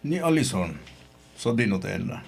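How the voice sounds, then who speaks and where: A man's voice: lowish voice, very animated, moderate pace, vocal fry, a little mellow, slightly rough, full character voice. A man with an Ilocano Filipino accent acting a worried, protective middle-aged father in an old-time radio drama, serious and emphatic. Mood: worried, suspicious.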